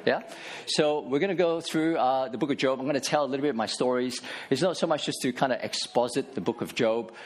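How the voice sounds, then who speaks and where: A man in his forties, a pastor giving a casual sermon in church with many fillers and restarts. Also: fairly low pitch. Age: 40s.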